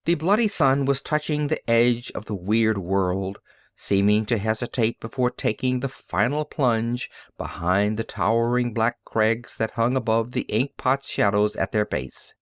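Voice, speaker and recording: A man reading science fiction as an audiobook narrator, in a dramatic, deliberate storytelling manner. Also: medium pitch, no echo, studio quality.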